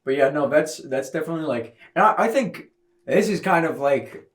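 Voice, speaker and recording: A man's voice: on the high side, lively intonation, brisk. A young man on a casual podcast, rambling and poking fun at himself with lots of "like" fillers. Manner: rambling with many "like" fillers, self-mocking. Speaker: podcast co-host. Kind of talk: casual chat.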